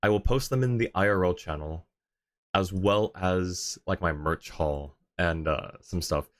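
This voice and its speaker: Low voiced guy streamer, just chatting away with his viewers, friendly and casual. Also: unhurried. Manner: friendly, chatty, thanks and greets viewers by turns. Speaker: live streamer, host. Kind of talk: casual livestream chat with viewers.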